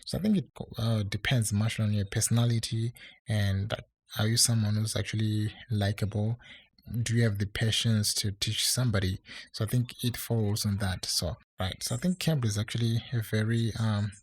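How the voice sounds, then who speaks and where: A man with a husky, gravelly voice talks casually to his viewers, pitched on the low side. He rambles and restarts often, leaning on fillers, with an English accent that is not American. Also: steady tone, moderate pace. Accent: non-American English. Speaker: online English tutor. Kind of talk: casual talk to camera.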